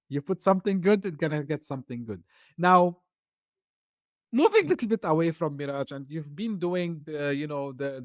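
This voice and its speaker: A man's voice: fairly high pitch, no room sound, studio quality. A man hosting a podcast interview. English is not his first language, and he talks loosely with many "you know" fillers. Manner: talkative, loose phrasing full of "you know". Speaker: podcast host. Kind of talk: casual interview chat.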